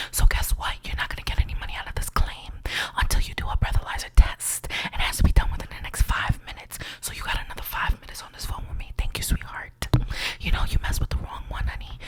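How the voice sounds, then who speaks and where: A woman's voice: quick pace, natural voice. A woman playing a condescending female insurance claims agent in an ASMR roleplay, whispering in a patronizing way and calling the listener sweetie and honey. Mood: sassy.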